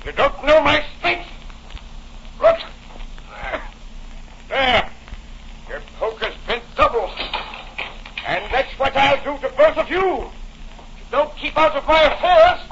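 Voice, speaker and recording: An actor playing a menacing, bullying doctor and violent stepfather in 1940s radio drama style, with forceful diction. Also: very high voice, expressive, slow pace, pauses often, full character voice. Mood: furious, threatening. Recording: muffled, hissy old radio recording.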